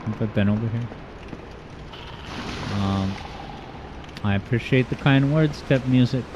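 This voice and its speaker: A man hosting a gaming livestream from home, reading viewer comments aloud in a rambling, joking, self-deprecating way, with a smooth voice. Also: medium pitch, measured pace, highs cut off. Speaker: livestream host. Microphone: streaming mic with boosted EQ. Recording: steady background noise.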